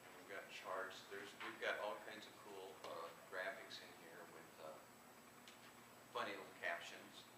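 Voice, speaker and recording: A man presenting his own book informally at a live event, with a halting, hesitant delivery. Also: low voice, level tone. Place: convention room, live event. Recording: echoey, noisy room from far off.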